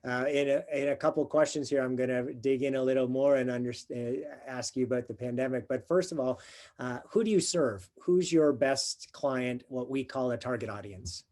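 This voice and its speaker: A man's voice: mid-range voice, moderate pace, darkish tone. A man hosting a local business interview series, friendly and encouraging, laughing along with his guest. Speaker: business coach, interview host.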